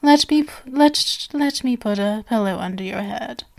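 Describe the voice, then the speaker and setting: A woman's voice: on the high side, normal speed, creaky. A woman playing a genial but sinister stage magician, possessive and doting, with flowery, coaxing endearments in an ASMR roleplay. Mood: possessive, alarmed.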